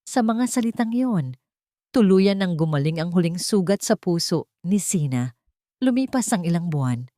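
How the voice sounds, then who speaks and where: Woman from the Philippines, audiobook narrator, gentle measured storytelling, low voice, dry close sound. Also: expressive, no room sound.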